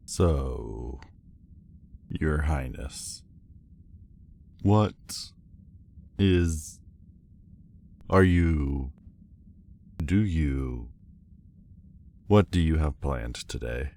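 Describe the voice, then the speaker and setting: A man playing a proud demon lord in a roleplay audio drama, speaking formally and haltingly, guarded but vulnerable underneath. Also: very deep voice, gravelly, mellow, full character voice. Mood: hesitant, guarded. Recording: faint background noise and ambience. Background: faint ambient sound effects.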